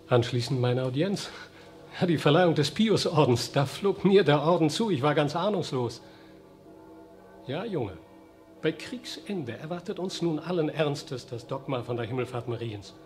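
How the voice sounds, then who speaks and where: A pompous aristocratic count in his sixties in a 1960s radio play. He speaks with fatherly pride and stern reproach. Music plays clearly behind him. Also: a little high, animated, measured pace, a little mellow. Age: 60s. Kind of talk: 1960s radio drama acting.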